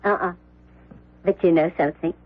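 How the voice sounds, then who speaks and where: An actress playing a simple, self-deprecating young woman, artless and hesitant, with a husky voice, in a radio drama. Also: lowish voice, steady tone, normal speed, narrow, tinny audio, natural voice. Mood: hesitant, sincere. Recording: thin vintage radio recording.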